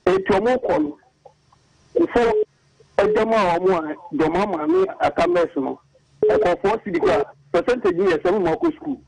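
A man from Ghana calling in to a radio show, arguing his point and rushing through figures. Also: high-pitched, very animated, creaky, a little mellow, a little hiss or hum. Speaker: caller. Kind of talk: phoned-in political opinion. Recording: phone line on air.

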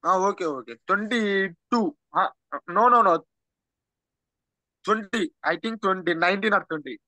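A man in his twenties with a South Indian accent, learning English and giving short, halting, simple answers to practice questions. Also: high-pitched, bright, forceful tone, poor audio. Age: 20s. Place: online video call, indoors.

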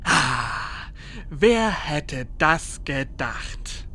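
A man's voice: on the high side, big pitch swings, extremely slow, sharp tone, full character voice. A man playing a cheerful, cocky, slightly unhinged muscleman showing off to camera in a horror audio drama. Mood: gleeful, motivating. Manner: chuckling, showy, talking to camera.

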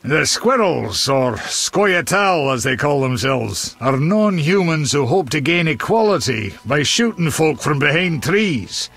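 An actor playing a gruff, hearty dwarf warrior in a fantasy game, raspy, blunt and familiar. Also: male voice, fairly high pitch, sing-song, measured pace, few pauses, fairly bright tone, full character voice. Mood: frank, friendly. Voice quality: gravelly, raspy. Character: gruff, hearty male dwarf warrior, loyal old pal. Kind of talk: fantasy video game dialogue.

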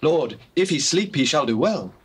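Anxious, high-pitched husky disciple. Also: male voice, expressive, normal speed. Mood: concerned. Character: anxious male disciple addressing his master. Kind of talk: dramatized scripture acting.

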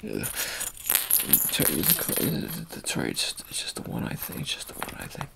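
Gravelly man in his thirties, soft offhand ASMR roleplay with whispers, close mic in a dark room, audible hiss behind. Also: low-pitched, wildly swooping pitch, moderate pace. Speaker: ASMR creator playing a surgeon. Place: small dark room indoors. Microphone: close-up camera held near the face.

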